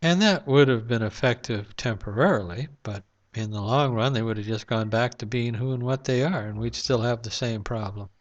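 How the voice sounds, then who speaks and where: A man speaks straight to his camera at home at night, rambling and reflective, with frequent "uh"s between thoughts. His voice sits at an ordinary middle pitch. The recording is dull and lo-fi. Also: moderate pace, hardly any pauses. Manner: rambling, reflective, with many fillers. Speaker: vlogger. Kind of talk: casual monologue to camera. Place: home room at night, lamp-lit.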